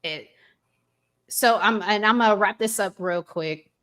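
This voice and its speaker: A woman hosting a casual relationship podcast, reflective and pausing to find her words. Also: mid-range voice, a bit bright. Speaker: podcast host.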